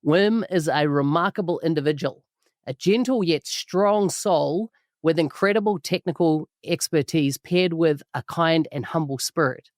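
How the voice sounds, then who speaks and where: Upbeat New podcast host. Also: male voice, a little high, measured pace, no room sound, clean studio sound. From New Zealand. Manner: enthusiastic, warmly praising. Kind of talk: podcast interview.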